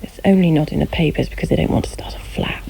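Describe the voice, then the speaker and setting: An actress playing a teasing, flirtatious wife and reporter in a naturalistic radio drama, with a wry, intimate manner. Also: female voice, low-pitched, fast, vocal fry, warm tone, slightly rough, in a whisper, full character voice, poor audio. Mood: intimate, confiding.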